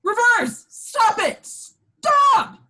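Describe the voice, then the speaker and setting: A woman reads an excitable space-fantasy scoundrel. The voice is very high and bright, swinging widely with emphatic, unhurried delivery. It has the feel of a lively script reading. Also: heavily acted. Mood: urgent. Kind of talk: script table read.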